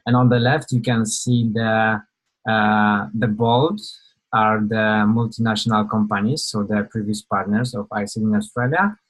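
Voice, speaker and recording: A man's voice: fairly low pitch, fairly even intonation, unhurried, rough recording. A young man from Poland, giving an informal webinar presentation over a video call, hesitant with many fillers and restarts.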